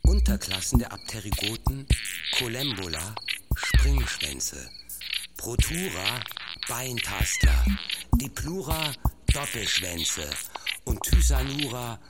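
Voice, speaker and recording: A man's voice: mid-range voice, sing-song, slow pace. A man reading a scientific scripted narration for a sound-art piece, listing technical terms like a lecturer. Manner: enumerating Latin taxonomic terms like a lecture. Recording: loud electronic music and insect sounds underneath. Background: techno beats with chirping and buzzing insects.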